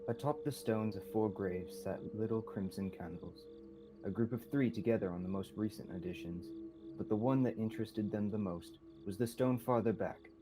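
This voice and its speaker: A man's voice: fairly low pitch, normal speed, over music, rough recording. A young man reading scripted narration for a radio-style mystery drama. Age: young adult.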